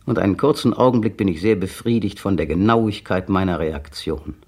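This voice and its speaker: A man's voice: low voice, fairly quick, darkish tone. An actor playing a bitter, cold man speaking a precise, brooding inner monologue in a 1960s radio play. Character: bitter, cold adult man resenting his partner. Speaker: male protagonist narrating inner monologue.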